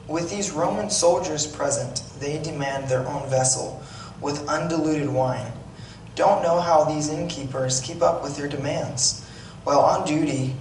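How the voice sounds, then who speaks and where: A man's voice: a little high, natural voice. A man reading aloud as a plain-spoken, wry, slightly grumbling village potter, in a conversational storytelling way with occasional stumbles. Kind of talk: dramatic monologue read aloud. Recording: echoey room, distant mic, noisy background.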